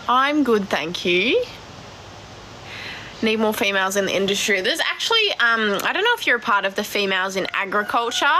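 A blunt Australian woman in her twenties with a naturally deep voice, chatting casually on a live stream from her phone and swearing freely. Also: pushed and bright, a little hiss or hum. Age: 20s. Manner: blunt, swears a lot, laughs easily. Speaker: cattle farmer vlogger. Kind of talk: casual live stream chat answering comments. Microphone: phone at arm's length.

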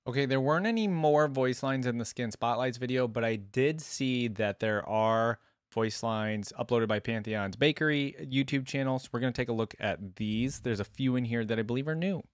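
A man's voice: medium pitch, normal speed, hardly any pauses, no echo. A young man giving casual, off-the-cuff reaction commentary as a video host. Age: young adult.

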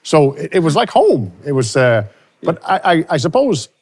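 A man's voice: a little high, big pitch swings, moderate pace, darkish tone, husky. Reflective man on a studio stage. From Louisiana, USA. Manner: reflective, with many restarts. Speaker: country singer guest. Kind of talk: studio interview. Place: studio with starry backdrop.

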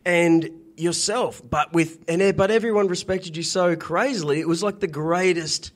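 A man's voice: sits high, normal speed. A man hosting a podcast, rambling and stumbling over his words. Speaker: podcast host. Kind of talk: casual interview chat.

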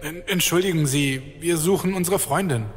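A man's voice: on the high side, normal speed, over music, natural voice. A man playing a nervous young man who stammers and hesitates. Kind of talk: audio drama acting.

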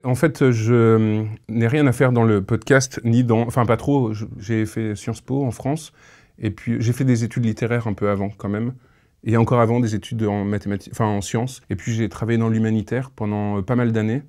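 A man in his thirties speaking reflectively in an interview, halting and thoughtful, on a lapel mic. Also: fairly low pitch, steady tone, dark tone. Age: 30s. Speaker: interviewee, audio fiction creator.

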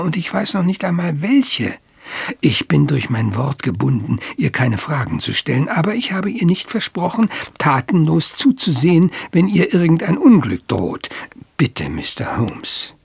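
An actor playing an earnest, proud and worried English country squire in an audio drama, husky and breathy. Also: male voice, fairly high pitch, dry recording, heavily acted. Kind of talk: German audio drama acting.

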